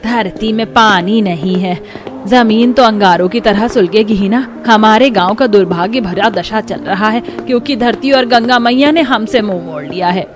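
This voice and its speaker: A woman's voice: mid-range voice, moderate pace, natural voice. A woman voicing an elderly, long-suffering village mother-in-law, motherly and lamenting in a dramatic cartoon manner. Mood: sorrowful consoling.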